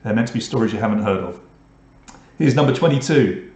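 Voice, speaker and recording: A man's voice: fairly low pitch, expressive, fast talker, darkish tone, echoey room. A man from England hosting an online pub quiz, reading out questions and scores with joking asides to the players. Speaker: quiz host.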